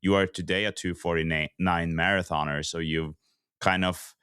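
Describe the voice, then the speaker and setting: A male podcast host with a very deep, resonant voice, captured dry and close. He chats his way toward each question, repeating first words and circling before he gets there. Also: steady tone, normal speed, a bit bright, clear, resonant tone, no echo. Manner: stammering starts, rambling lead-ins to questions. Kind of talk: conversational interviewing.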